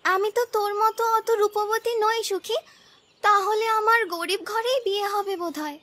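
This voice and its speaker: A woman's voice: extremely high pitch, normal speed, pushed and bright, no room sound. A woman voicing a humble, sweet, dutiful young village girl in a cartoon story, speaking meekly and earnestly. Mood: meek, resigned. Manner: meek, earnest. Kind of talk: Bengali cartoon story dubbing.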